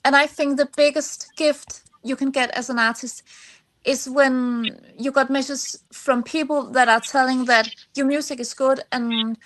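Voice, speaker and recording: Female podcast guest, high-pitched, Danish accent, second-language speaker, conversational and somewhat halting phrasing. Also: fairly even intonation, fairly bright tone. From Denmark. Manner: halting, searching for words in non-native English. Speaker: singer-songwriter, podcast guest. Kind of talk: conversational interview answers.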